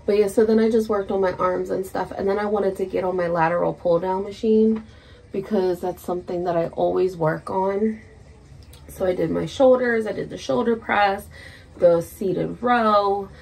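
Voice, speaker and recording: Creaky-voiced woman chatting casually. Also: mid-range voice, steady tone, unhurried, a little mellow, rough recording. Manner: rambling, swears freely. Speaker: vlogger. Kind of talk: casual chat, personal vlog. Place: small indoor room at home.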